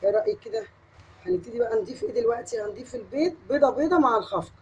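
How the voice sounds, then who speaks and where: A home cook with an Egyptian accent walks the viewer through a recipe in a quick, friendly patter. Her voice sounds tired from a recent cold and sits a little high. The kitchen recording carries a clear layer of background noise. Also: animated, a little mellow, muffled recording. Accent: Egyptian Arabic. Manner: chatty, addresses viewer directly, step-by-step. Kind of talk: cooking tutorial.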